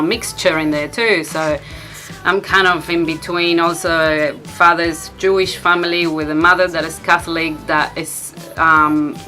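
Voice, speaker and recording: A woman's voice: deep voice, bright, forceful tone. A woman with an Argentinian accent, talking casually and personally.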